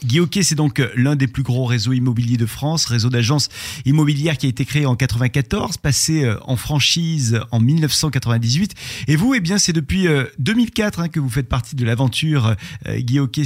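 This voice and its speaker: A man hosting a podcast, asking formal, measured interview questions with brief hesitations. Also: medium pitch, no echo, clean studio sound.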